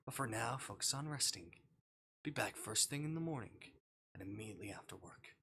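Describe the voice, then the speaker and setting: A man voicing a polite, possessive male captor in a visual novel, soft and controlled. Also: on the low side, animated, a little fast, creaky, slight background noise, full character voice. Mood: calmly possessive.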